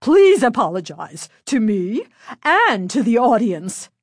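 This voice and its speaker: A woman voicing an indignant female music teacher in a storybook, shouting and sputtering in outrage. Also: a little high, big pitch swings, slow, no room sound, clean studio sound, pitched above the performer's own voice, exaggerated voice.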